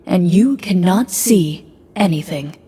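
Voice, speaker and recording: A woman playing a composed, serious game master in a video game, narrating in a calm, formal, deliberate way. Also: lowish voice, husky, full character voice. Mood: solemn narrating. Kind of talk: measured tabletop game narration.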